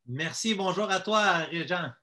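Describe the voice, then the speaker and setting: A man's voice: high voice, a little slow, bright, forceful tone. A man with a Quebec French accent, a guest telling a personal story in a hesitant, conversational way. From Quebec, Canada. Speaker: interview guest giving a testimony.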